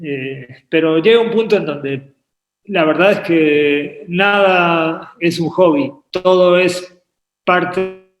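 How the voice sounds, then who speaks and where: Hesitant painter, roomy sound. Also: male voice, fairly high pitch, takes it slowly, highs cut off. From Uruguay, raised in Argentina. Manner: hesitant, repeats words while thinking. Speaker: painter and artist guest. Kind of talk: relaxed interview conversation. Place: home painting studio. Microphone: video call. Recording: echoey room on a video call.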